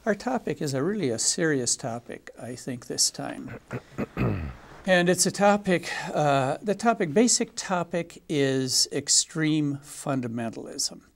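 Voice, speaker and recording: A man in his seventies hosting a local TV panel discussion, speaking haltingly with frequent pauses and fillers. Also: on the high side, animated, gravelly. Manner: hesitant, many filler pauses. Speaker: talk show host.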